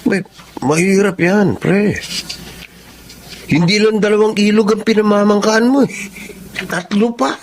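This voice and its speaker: A Filipino actor playing a nosy, chummy, teasing friend in an animated radio drama style. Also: male voice, sits high, a little slow, pauses often, slight background noise. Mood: curious, cautioning.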